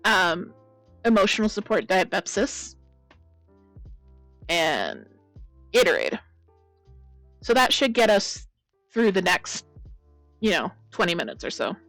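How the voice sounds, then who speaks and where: Chatty female streamer, gravelly croaky voice, overloaded blown-out mic, dry sound. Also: medium pitch, sing-song, slow pace, long pauses, a bit bright, no room sound, soft background music. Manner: chatty, rambling, greets viewers by name. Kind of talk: casual stream chat.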